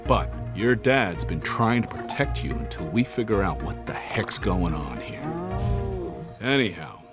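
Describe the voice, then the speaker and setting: A man's voice: low voice, very animated, unhurried. An actor playing a folksy older male adventurer who tells war stories to a youngster in a game cutscene. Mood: gentle, consoling. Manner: folksy, slangy, reflective storytelling. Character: folksy older male adventurer, warm storytelling uncle type. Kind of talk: cinematic game cutscene narration. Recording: music behind the voice.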